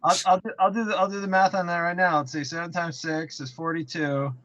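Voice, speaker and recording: A man's voice: sits high, flat delivery, a little fast, fairly bright tone, no room sound, lo-fi sound. A man in a casual panel discussion as a game developer, explaining things by thinking aloud and repeating himself.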